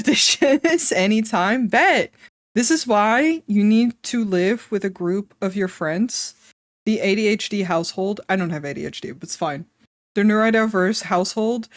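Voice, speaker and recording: Casual woman streamer, slightly congested and wheezy, slow and chatty, dry close sound. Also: mid-range voice, no room sound. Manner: chatty, swears casually, laughs often. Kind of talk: casual live-stream chat.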